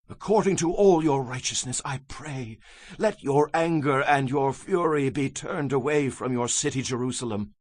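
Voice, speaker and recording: An actor playing an anguished Hebrew prophet in a dramatized scripture reading, husky, solemn and impassioned as he pleads with God. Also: a little high, fairly bright tone, no room sound. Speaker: actor in a dramatized audio Bible.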